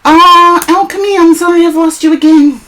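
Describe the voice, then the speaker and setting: A hoarse woman, chatting casually on a live stream as a tarot reader, greeting and answering viewers by name. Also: very high voice, fairly even intonation, normal speed, a bit bright.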